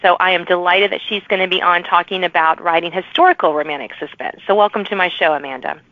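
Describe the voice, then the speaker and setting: A woman's voice: on the low side, steady tone, fast, dry recording. A woman hosting a podcast, chatting casually and agreeably with her guest about writing. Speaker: podcast host and author. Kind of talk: casual interview chat. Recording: dull, lo-fi remote audio.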